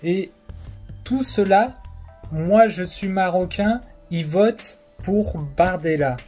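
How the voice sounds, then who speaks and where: A young adult vlogger speaks straight into a phone camera at an unhurried, dragging pace. The tone is mellow and soft-edged, and the speech is full of hesitations and fillers but keeps pressing its point. Faint music plays underneath. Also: medium pitch, husky. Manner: hesitant, many fillers, colloquial and insistent. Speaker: political vlogger. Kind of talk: casual opinion monologue to camera. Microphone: phone or camera facing the speaker.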